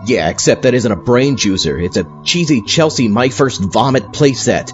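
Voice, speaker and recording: Exasperated horror-show host played by a man, animated comic audio drama delivery, slightly dull sound over music. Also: mid-range voice, normal speed, close to an everyday voice. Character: exasperated party host introducing horror radio episodes. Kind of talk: scripted comedy audio drama.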